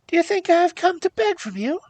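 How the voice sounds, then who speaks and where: A woman voicing a young fairy-tale princess, gentle and sorrowful, with a polite, pleading, meek manner. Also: very high-pitched, very animated, brisk, dry recording, voice pushed up. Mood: indignant. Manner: plaintive, polite, submissive. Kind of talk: storybook character voice.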